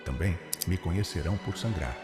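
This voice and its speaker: A man with a Brazilian accent voicing a wounded, thirsty river in solemn, poetic first-person narration, with a gravelly voice. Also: low voice, brisk, lightly acted. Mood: solemn, mournful. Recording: cavernous echoing reverb with faint music.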